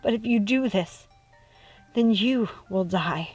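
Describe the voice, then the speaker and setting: A woman's voice: mid-range voice, slow, husky. A woman voicing a devoted young man, a storybook hero, speaking earnestly and urgently over music. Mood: weak, urgent. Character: devoted young man, princess's dashing sweetheart.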